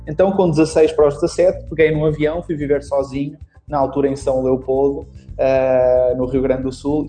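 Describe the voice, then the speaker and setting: Young man, European Portuguese accent, very dark mellow tone, rambling storyteller, faint music under him. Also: mid-range voice. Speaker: guest, marketing instructor. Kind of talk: casual podcast interview.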